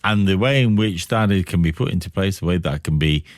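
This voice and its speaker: A man hosting a radio interview, speaking in deliberate, building phrases with rhetorical questions and repetition. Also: deep voice, expressive, dry recording. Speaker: radio host.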